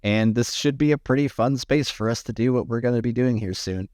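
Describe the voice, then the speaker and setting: A man chatting casually to his livestream viewers, rambling with many restarts and sounding slightly hoarse after hours of talking. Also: medium pitch, fairly quick, a little mellow, dry recording, studio quality. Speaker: livestreamer and AI art tutorial maker.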